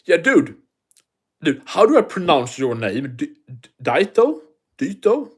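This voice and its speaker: A man's voice: on the high side, very animated, measured pace, slightly rough. A man with a thick non-native accent hosting a casual livestream, rambling and swearing as he answers viewer comments. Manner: rambling, profane, restarts often. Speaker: livestream host.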